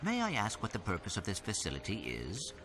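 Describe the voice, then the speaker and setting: Polite formal robot, low-ish voice, music in the background. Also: male voice, huge pitch swings, quick pace. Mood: earnest. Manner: formal, courteous. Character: polite, earnest small male robot.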